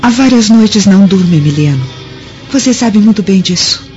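An actress playing a weary, discontented young Roman wife in a radio drama, with formal, melodramatic delivery. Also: female voice, medium pitch, expressive, moderate pace, darkish tone, lightly acted. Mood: weary, wistful. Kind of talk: dramatic radio play acting. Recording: dull lo-fi audio with music behind.

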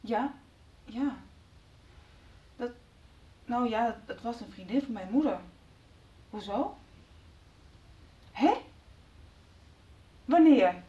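A worried, touchy teenage girl in a radio soap. Her voice is high and very animated. She sounds roomy and set back from the mic in a dull recording. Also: slow, long pauses, distant mic. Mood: flustered. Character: worried, irritable teenage daughter.

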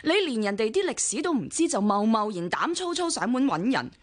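An actress in a Cantonese radio drama playing a nagging, sarcastic married older sister with a Hong Kong accent and a scornful, grumbling manner. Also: female voice, a little high, big pitch swings, a little fast. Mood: scornful, scolding. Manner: sharp-tongued, scornful, grumbling.

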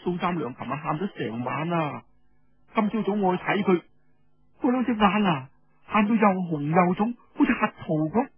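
A man's voice: high-pitched, expressive, moderate pace, husky, narrow, tinny audio, voice pushed up, heavily acted. A man voicing an impetuous, fretful young woman in oral storytelling, with a Cantonese accent and an urgent, exclaiming manner. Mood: distressed, pleading.

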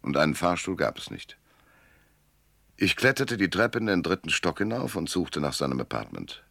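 An actor playing a world-weary, laconic private detective who narrates in a dry, sardonic, gravelly way in a German noir radio drama. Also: male voice, low voice, sing-song, normal speed, a bit bright, dry recording, exaggerated voice. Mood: brooding, measured.

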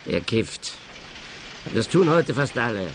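Ernster Schrottplatzchef, leichte Hintergrundgeräusche. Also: male voice, medium pitch, big pitch swings, slow pace, noisy background. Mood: aggrieved, firm. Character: earnest, matter-of-fact male scrapyard boss. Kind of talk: radio play dialogue.

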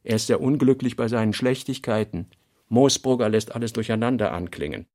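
A man reading scripted literary notes for a radio play, in measured, fragmentary phrases. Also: mid-range voice, darkish tone. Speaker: reader of a writer's working notes.

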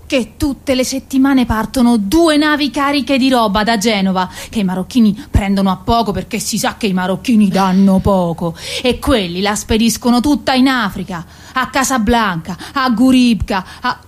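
A woman's voice: a little high, moderate pace, hardly any pauses, lightly acted. A woman acting a plaintive, naive, earthy working-class woman in a theatrical radio monologue, lamenting and swinging between mutters and wails. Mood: indignant.

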